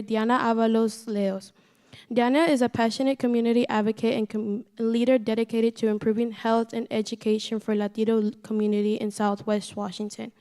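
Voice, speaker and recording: Brief: woman presenter reading an introduction, smooth mellow tone, very even delivery. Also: on the high side. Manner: reads steadily, with a few stumbles. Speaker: assembly presenter.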